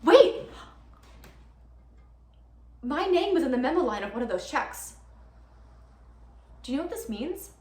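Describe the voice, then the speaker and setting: A woman's voice: medium pitch, lightly acted. Woman acting a nervous, chatty girlfriend, roomy sound. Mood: elated. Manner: rambling, self-correcting, nervously laughing. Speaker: actor performing a monologue. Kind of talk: theatrical comic-dramatic monologue. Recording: roomy, distant mic with background hiss.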